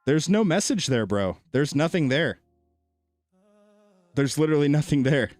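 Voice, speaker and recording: A man's voice: on the high side, sing-song, moderate pace, darkish tone, no room sound, soft background music. A man streaming a game and chatting casually with viewers, laid-back and thinking aloud, with a soothing voice. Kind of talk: casual gameplay commentary with chat.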